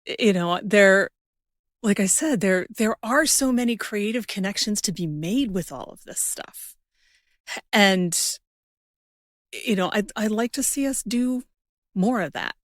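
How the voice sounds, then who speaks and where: A woman's voice: medium pitch, expressive, unhurried, no echo, clean studio sound. A woman hosting a podcast in casual conversation, informal and chatty as she thinks aloud. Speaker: podcast host.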